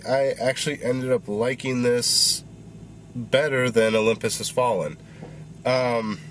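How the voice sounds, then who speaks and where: A man in his thirties giving a rambling, casual movie review with a congested, stuffy voice while he gets over a cold. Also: mid-range voice. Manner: rambling, filler-heavy. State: congested, recovering voice.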